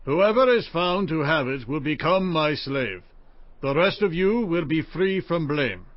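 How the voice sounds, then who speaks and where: Casting: firm ancient steward, formal and declarative, raised lively voice, dry recording. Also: male voice, fairly high pitch, measured pace, no echo. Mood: firm, loud. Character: firm male household steward laying down terms. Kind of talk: dramatized scripture audio acting. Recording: dry, slightly dull studio recording.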